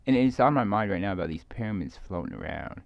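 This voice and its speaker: Low gravelly guy rambling casually, keeps stumbling and trailing off, kinda muffled lo-fi audio. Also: animated, no room sound. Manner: hesitant, halting, trailing off, often repeating words. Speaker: video creator speaking to viewers.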